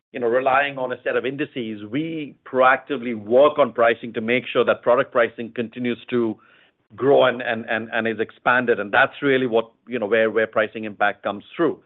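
A man speaking as a company executive, giving measured, explanatory answers in a semi-formal earnings call style, often repeating phrases. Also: medium pitch. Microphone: conference call line. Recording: narrow tinny phone-line sound.

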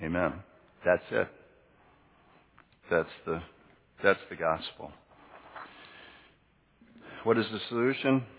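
Guy preaching in a big echoey church, kinda husky, teachery, asks stuff then answers it, muffled recording. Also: sits low, lively intonation, slow, long pauses. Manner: conversational, teacherly, asks and answers own questions. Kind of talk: sermon with questions to the congregation. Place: large church hall, preaching to a congregation. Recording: echoey hall, dull lo-fi sound.

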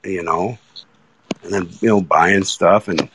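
A man's voice: sits low, vocal fry, fairly bright tone, slight background noise, low-quality recording. A man calling in to a casual chat on his phone, talking eagerly in stops and starts. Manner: enthusiastic, halting with restarts. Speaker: guest caller. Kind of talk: casual chat on a live audio app. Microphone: iPhone.

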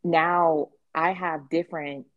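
A woman's voice: deep voice, takes it slowly, no room sound, lo-fi sound. A woman host from the West Indies, talking candidly in a casual chat, with faint Caribbean traces in her American accent. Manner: candid, animated storytelling with acted quotes and swearing. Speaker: podcast host.

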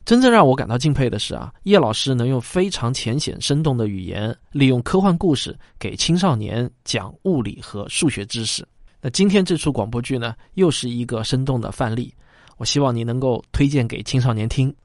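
A man's voice: medium pitch, expressive, a little fast, a little mellow, no room sound, studio quality. A man hosting an audio show, speaking to listeners in a presenter's scripted delivery. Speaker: show host. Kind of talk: warm scripted outro to listeners.

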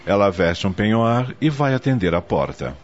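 A man's voice: on the low side, very animated, moderate pace, lo-fi sound. A man with a Brazilian accent narrating a scripted radio drama with dramatic storytelling delivery. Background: faint music.

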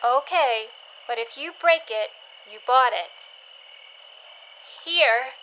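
A woman's voice: sits high, slow pace, pushed and bright, dull audio, full character voice. A woman playing a wary, curious young scavenger in an audio drama, guarded and questioning. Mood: curious, matter-of-fact. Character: wary, curious young female scavenger who collects specimens. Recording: noisy, night insects and frogs behind. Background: crickets, frogs, outdoor ambience.

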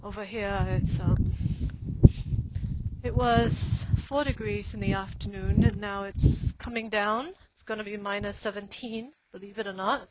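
A woman's voice: mid-range voice, fairly even intonation, muffled recording, very noisy. A woman in her forties musing aloud for a reflective podcast while walking outdoors on a snowy street. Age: 40s. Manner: slow, musing and meandering. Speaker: podcast host.

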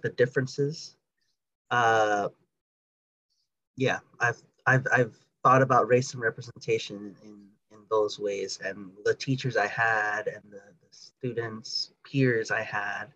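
A man talking casually and reflectively as a podcast guest, halting often with "like", on slightly lo-fi audio. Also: mid-range voice, fairly even intonation, pushed and bright, dry recording. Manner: hesitant, halting, many "like"s. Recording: dull, slightly lo-fi microphone audio.

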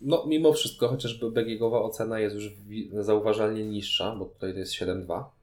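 A man's voice: lowish voice, steady tone, brisk. A man in casual conversation, co-hosting a podcast and reviewing games, recorded with a roomy echo. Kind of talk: casual chat, board game review.

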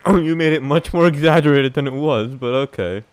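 A teenage boy with a smooth voice, hosting a casual podcast in a laid-back way. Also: a little high, fairly quick. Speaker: podcast host. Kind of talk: casual chat.

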